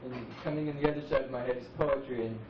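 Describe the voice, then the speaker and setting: A man in his forties speaking as a poet at an open mic, musing reflectively and unhurriedly with dramatic pauses. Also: mid-range voice, fairly even intonation. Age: 40s. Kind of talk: live spoken-word musing. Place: live open mic venue, roomy. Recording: muffled noisy VHS recording, echoey, distant.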